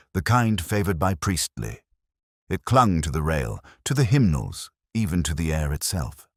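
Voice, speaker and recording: A man voicing a reflective police inspector who narrates his case in the first person, measured and literary. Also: extremely low pitch, no room sound, studio quality, full character voice. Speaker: detective narrator. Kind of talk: scripted first-person radio-drama narration.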